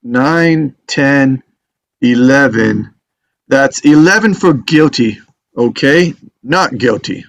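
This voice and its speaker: A man as a businesslike foreman, medium-pitched voice. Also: animated, slow pace. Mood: matter-of-fact. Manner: matter-of-fact, orderly. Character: businesslike jury foreman keeping order. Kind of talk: dramatic table read of a stage drama.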